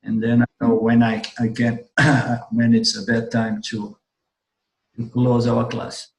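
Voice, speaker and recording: A man teaching an online spiritual class. He speaks with a strained voice, restarts his sentences often and keeps clearing his throat. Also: mid-range voice, monotone, darkish tone, roomy sound, poor audio. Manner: slow, halting delivery with frequent restarts and throat clearing. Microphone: video call.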